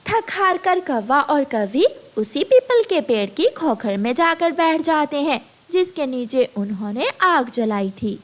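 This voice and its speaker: A woman narrating a children's story in an expressive, scripted storytelling style. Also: high voice, unhurried, hardly any pauses.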